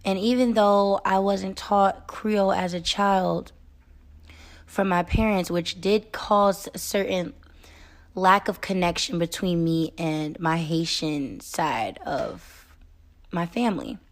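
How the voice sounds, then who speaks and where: A woman's voice: lowish voice, slow pace. A young American woman hosting a solo podcast, talking in an earnest, emphatic way with frequent "um" and "okay?". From United States. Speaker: podcast host.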